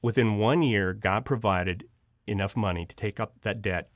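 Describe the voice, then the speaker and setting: A man telling personal stories as an interview guest, casual and animated, acting out reactions as he goes. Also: on the low side, normal speed, no echo, clean studio sound. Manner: animated, acts out reactions and dialogue. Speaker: interview guest, audio drama writer and producer.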